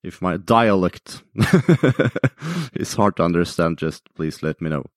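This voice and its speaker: Deep-voiced Norwegian man hosting. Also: very animated, slow, no room sound, clean studio sound. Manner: polite, laughs often. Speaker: podcast host. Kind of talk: interview questions.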